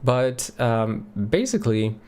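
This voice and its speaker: A middle-aged man from Sweden with a Swedish accent, hosting a live question session from a home office and reading chat questions aloud. He talks in a relaxed way, thinking aloud with many ums. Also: mid-range voice, slow, clean tone. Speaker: livestream host answering chat questions. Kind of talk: casual live Q&A. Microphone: studio mic on a boom near the face.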